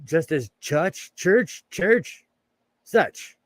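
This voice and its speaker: A middle-aged man from Southern California talks loosely on a livestream, chuckling and wandering between stories. His voice sits a little high for a man, with a Southern Californian accent. The sound is dry and close, with almost no room around it. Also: lively intonation, extremely slow, fairly bright tone, dry recording. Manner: rambling, chuckles often, greets viewers by name. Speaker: livestream host. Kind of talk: casual chat while sewing, reading chat.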